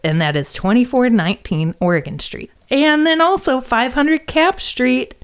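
A woman's voice: high-pitched, expressive, moderate pace, smooth. A woman hosting a casual art podcast, wry and swearing freely as she chats and reads event listings. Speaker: podcast host. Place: indoor art space studio.

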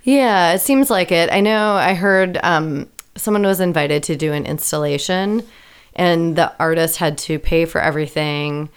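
A woman chatting casually as a guest, giggly and self-deprecating, with a booming voice and lots of hedging. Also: normal speed, clear, resonant tone. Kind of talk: casual chat.

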